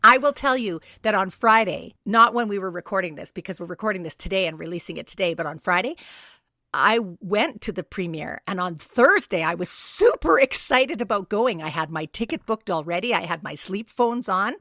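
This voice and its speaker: A woman's voice: mid-range voice, animated, moderate pace, pushed and bright, dry recording, studio quality. A middle-aged woman co-hosting a casual podcast chat in a playful, emphatic way. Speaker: podcast co-host.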